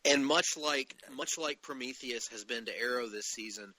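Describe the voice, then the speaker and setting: A man's voice: mid-range voice, moderate pace, sharp tone, no room sound, low-quality recording. A man hosting a podcast, casually discussing comic-book television shows. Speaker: podcast host.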